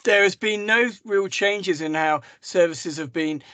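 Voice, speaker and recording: A man talks over a video call, a little croaky from a cough. He presents slides for a webinar with many hesitations and restarts, in a rather high voice. The sound is dry. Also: a little slow, a bit bright, dry recording. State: hoarse from a cough. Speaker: mental health service manager.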